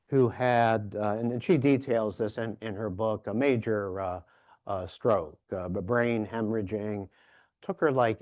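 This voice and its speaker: Slow, halting male lecturer. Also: medium pitch, darkish tone, no echo, clean studio sound. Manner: halting, repeats words and emphasizes key phrases. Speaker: professor giving a keynote workshop. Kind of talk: conference lecture, reflective and informal.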